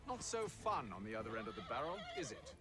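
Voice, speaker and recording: An actor with an English accent playing a cold, calculating, sardonic gentleman assassin in a video game, measured and dry. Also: medium pitch, big pitch swings, close to an everyday voice. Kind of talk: dramatic video game acting. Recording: loud music behind the voice.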